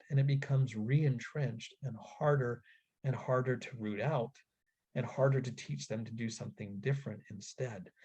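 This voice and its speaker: Male webinar presenter, husky and mellow, earnest and deliberate, instructing parents. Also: mid-range voice. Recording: dull, lo-fi webinar audio.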